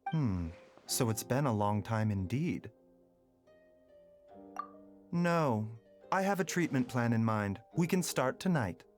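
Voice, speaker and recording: A man voicing a calm, composed male doctor who speaks in a measured, polite, clinical way. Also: medium pitch, soft background music. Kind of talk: game voice acting.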